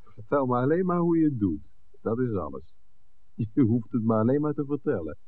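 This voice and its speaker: An actor playing a level-headed, tactful writer in a 1960s radio drama, dry and politely understated. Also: male voice, medium pitch, big pitch swings, a little fast, far from the mic, narrow, tinny audio. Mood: casual. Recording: thin, noisy old radio recording.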